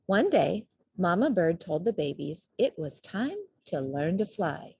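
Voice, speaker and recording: A woman narrates a children's picture book at a slow pace. Her intonation is very lively, her tone slightly husky, and the sound is dry and close. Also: lowish voice, no room sound. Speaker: storybook reader. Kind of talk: expressive children's storybook narration.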